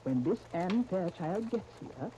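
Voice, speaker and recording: An actress playing a vicious, scheming woman who gives commanding, deliberate orders in a 1930s radio soap opera. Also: on the low side, expressive, mellow. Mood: scheming, insistent. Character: vicious scheming woman plotting to frame a family. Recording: muffled, noisy 1930s radio recording.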